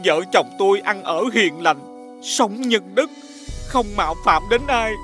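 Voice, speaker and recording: A man's voice: high voice, expressive, measured pace, pushed and bright, heavily acted. A humble old village father in a fairy-tale cartoon. He speaks deferentially and plaintively, over faint background music. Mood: sorrowful pleading. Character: humble, pleading village father, an elderly man. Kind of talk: animated fairy tale acting.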